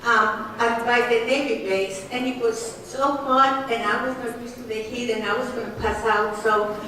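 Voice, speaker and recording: A woman's voice: on the high side, steady tone, normal speed, pushed and bright. A woman in her sixties from Cyprus with a Greek accent, hesitantly reminiscing in a speech to an audience in a large room. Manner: hesitant, with many fillers and restarts. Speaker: nursing graduate speaking at an anniversary event. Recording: very echoey hall, voice from far off, faint noise.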